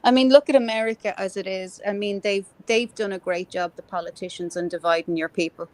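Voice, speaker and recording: A woman's voice: medium pitch, a little fast. A woman in her fifties talks politics with open passion. She is earthy and blunt, and casual swearing runs through her speech. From Ireland. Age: 50s. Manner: impassioned, earthy, swears freely.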